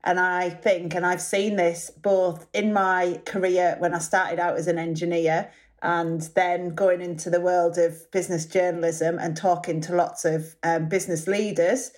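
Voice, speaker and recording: A woman with a slightly low voice talks as a podcast guest. She is earnest and laughs easily while making her points. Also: little pitch movement, unhurried, darkish tone. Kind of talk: conversational interview.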